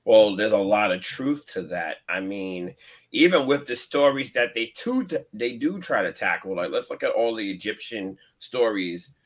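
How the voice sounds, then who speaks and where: A man's voice: lowish voice, normal speed. A man chatting on a show, earnestly explaining his views at length. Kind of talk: casual chat.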